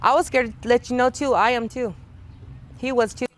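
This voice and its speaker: A woman speaking in an interview clip, recounting events in a halting, hesitant way. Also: fairly high pitch, steady tone. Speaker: mother interviewed in a documentary clip. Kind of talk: interview testimony.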